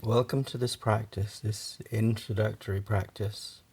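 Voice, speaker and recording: A man guides a relaxation meditation in a fairly deep voice with a pronounced creak. He speaks very slowly, in short halting phrases with long pauses. His manner is gentle and reassuring. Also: level tone. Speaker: yoga nidra meditation guide.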